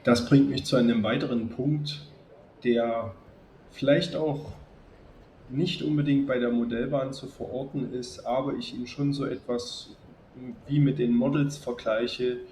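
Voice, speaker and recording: A man with a cold, addressing his viewers in a calm, chatty way as he narrates a hobby video. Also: mid-range voice, measured pace, slight background noise. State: has a cold. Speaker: model railway YouTuber. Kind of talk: casual spoken video narration.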